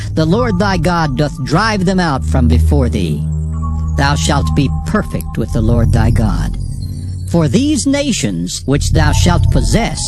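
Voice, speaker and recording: A man acting as a grave, commanding narrator in a dramatized King James scripture reading, solemn and declamatory. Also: mid-range voice. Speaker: Bible narrator.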